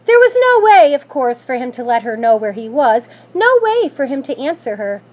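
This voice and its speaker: A woman reading a children's story aloud in an expressive, scripted narrating style. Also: high voice, normal speed. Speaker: school staff member reading aloud.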